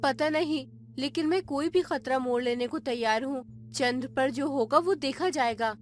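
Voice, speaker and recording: A woman's voice: high voice, moderate pace, natural voice. A woman playing a bold, determined woman scientist in a dramatized space adventure story. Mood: resolute. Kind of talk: dramatized Hindi audio story dialogue.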